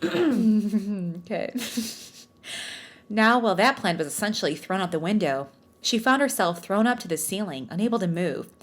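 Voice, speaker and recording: A woman's voice: mid-range voice, lively intonation, moderate pace. A woman podcast host who reads fan fiction aloud in a storytelling style, with casual, self-mocking joking asides. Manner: jokey, self-mocking, bantering.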